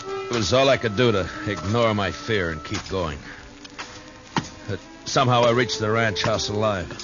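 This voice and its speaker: A man playing a steady, stoic frontier marshal, terse and calm, in a 1950s radio western. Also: lowish voice, moderate pace, close to an everyday voice. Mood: grim narration. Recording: dull lo-fi old radio recording, music.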